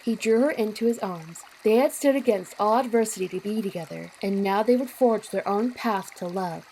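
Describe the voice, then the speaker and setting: A woman's voice: mid-range voice, moderate pace, mellow, rough recording. A woman reading scripted story narration as the narrator of an audio drama. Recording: clear noise with water sounds behind. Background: trickling water ambience.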